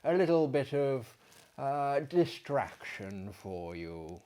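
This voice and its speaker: A man in his thirties reading a literary tale aloud in a theatrical, gentlemanly manner, with dramatic pauses and chatty asides. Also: mid-range voice, very animated. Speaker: storyteller, host. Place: small book-lined living room, indoors.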